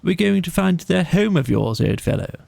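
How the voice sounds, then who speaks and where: A man acts out a kindly, decisive water rat from a storybook. He sounds hearty and cheerfully bossy, pitched above a plain narrating voice, in a dry close recording. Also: very animated, normal speed, creaky, a little mellow, husky, dry recording, heavily acted. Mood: pleasant reassurance.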